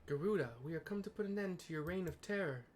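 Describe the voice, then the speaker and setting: A man's voice: sits high, fast talker, a little hiss or hum, voice pushed up, lightly acted. A man voicing a resolute heroic adventurer from a fantasy game, earnest and rallying in formal speech. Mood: defiant. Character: resolute heroic adventurer allies defying a tyrant.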